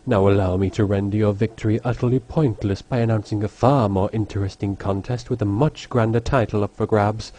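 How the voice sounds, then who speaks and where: A man voicing a smug, camp, theatrical villain in a cartoon parody dub, with drawn-out, affected delivery. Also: on the low side, steady tone, warm tone, lightly acted. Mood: smug, menacing.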